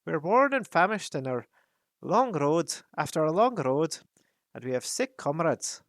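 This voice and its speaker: A man voicing an impatient young dwarf who talks in a hurried, pushy way. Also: a little high, sing-song, a bit bright, no room sound, studio quality, voice pushed up, heavily acted.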